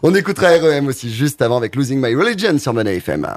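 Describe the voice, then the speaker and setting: A man's voice: medium pitch, sing-song, very fast. Chatty man hosting a radio interview. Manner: chatty, with fillers, rephrasing questions. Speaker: radio host.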